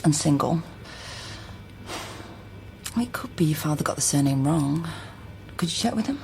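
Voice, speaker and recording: An actress playing a helpful, level-headed genealogist in a naturalistic radio drama. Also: female voice, sits low, animated, measured pace, vocal fry, darkish tone, a little hiss or hum, full character voice. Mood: helpful, thoughtful.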